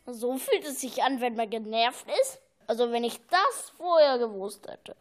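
A woman voicing a lost, easily annoyed little male earworm who thinks out loud in a children's audio story. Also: on the high side, animated, moderate pace, lightly acted. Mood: annoyed, pleading. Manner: exclaiming, grumbling, thinking out loud.

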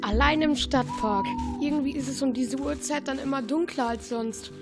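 A nervous, timid child, voiced by a female performer in a children's audio drama. The voice is very high, and music plays clearly underneath. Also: moderate pace. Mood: nervous, suspicious. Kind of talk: children's radio play acting.

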